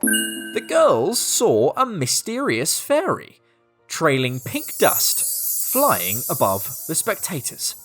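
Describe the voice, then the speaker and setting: A woman reading a children's story as scripted narration, expressive and deliberate, with dramatic pauses. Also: sits low, soft background music.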